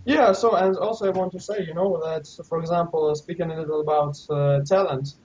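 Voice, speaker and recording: A man hosting a casual conversation, speaking thoughtfully and haltingly as he searches for words. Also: a little high, fairly even intonation, darkish tone, slightly rough. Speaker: host. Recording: dull lo-fi recording, a bit off-mic.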